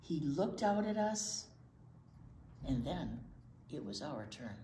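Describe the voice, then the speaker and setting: An older woman reads a picture book to children with asides, expressive and often dropping to a hushed near-whisper. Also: low voice, takes it slowly. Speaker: children's library storytime reader. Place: indoor children's library storytime corner. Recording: roomy echo, faint background noise.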